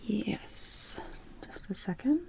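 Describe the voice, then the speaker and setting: A woman whispering through an ASMR roleplay as a polite hospital receptionist taking phone calls. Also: takes it slowly.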